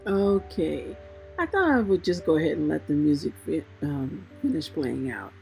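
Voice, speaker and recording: A woman's voice: low voice, expressive, normal speed, vocal fry, dark tone, poor audio. A woman hosting a Bible reading livestream, speaking to listeners in a friendly way, both chatting and reading aloud. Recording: music playing behind the voice. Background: background music.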